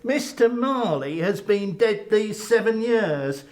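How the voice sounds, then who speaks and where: Man acting cold old miser, very high voice, animated swings, growling sarcasm, curt, storybook drama. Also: takes it slowly. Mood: coldly sardonic. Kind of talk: dramatic audiobook reading of a Victorian ghost story.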